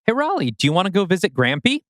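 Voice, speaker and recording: Guy as a tense guarded dad, pitch moves around a lot, dry recording. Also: big pitch swings, fast talker, fairly bright tone, no echo. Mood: coaxing. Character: guarded, tense stay-at-home father, grown son.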